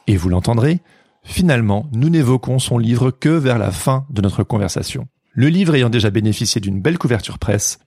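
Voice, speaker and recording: A man hosting a podcast, reading a scripted introduction and then chatting with his guest in a friendly, measured way. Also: fairly low pitch, a little mellow, breathy edge, no echo. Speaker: podcast host.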